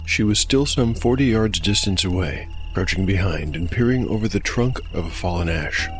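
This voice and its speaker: A man with a deep voice tells a dark fantasy tale as host and narrator of a tabletop audiodrama. His delivery is scripted, measured and deliberate. Soft music plays behind him. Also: expressive.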